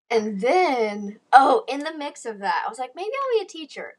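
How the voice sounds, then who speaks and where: A woman's voice: sits high, very animated, unhurried. Guest, young woman, Southern drawl, laughs easily, self-deprecating. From Texas. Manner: chatty, giggly, self-deprecating. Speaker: guest, interior designer. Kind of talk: casual interview chat.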